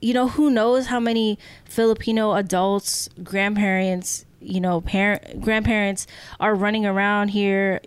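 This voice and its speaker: A woman's voice: mid-range voice, steady tone, unhurried, clean tone, dry recording. A woman co-hosting a podcast, telling stories casually and reflectively, often checking in with the listener. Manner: reflective, often adds "you know" and "right?". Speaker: podcast co-host. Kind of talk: casual conversational storytelling.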